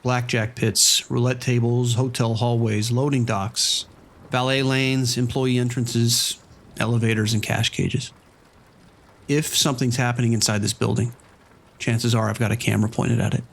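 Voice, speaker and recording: A man's voice: mid-range voice, flat delivery, moderate pace, vocal fry. A man playing a matter-of-fact, weary casino operations manager in an understated audio drama monologue. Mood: matter-of-fact, uneasy.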